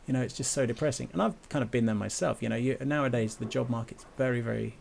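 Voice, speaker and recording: A man with a gravelly, fry-edged voice talks casually on a podcast. He often stumbles, repeating words and restarting his thoughts as he goes. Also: mid-range voice, fast talker, a loud crowd. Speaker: podcast host. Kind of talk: casual conversation.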